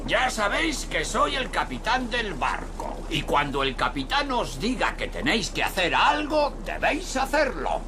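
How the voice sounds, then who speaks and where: An actor with a Spanish accent from Spain playing a proud, blustering old grandpa pig boat captain in a children's cartoon. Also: male voice, sits high, wildly swooping pitch, normal speed, few pauses, noisy background. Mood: commanding.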